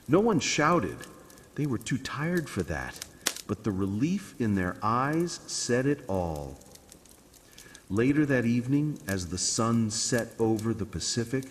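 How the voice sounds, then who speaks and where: A man narrating a scripted bedtime story in a calm, soothing, dramatic way. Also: medium pitch, in a large hall, a little hiss or hum.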